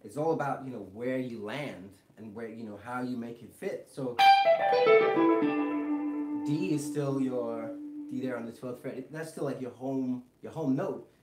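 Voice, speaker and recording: A man with a mix of British and American accent, teaching guitar on a live stream and chatting with viewers in a relaxed, rambling way full of fillers. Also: mid-range voice, measured pace. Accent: British English mixed with American. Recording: roomy echo, faint guitar behind.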